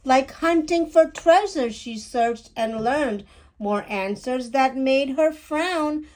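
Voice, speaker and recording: A woman reading a rhyming children's picture book aloud with deliberate, animated phrasing. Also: high-pitched.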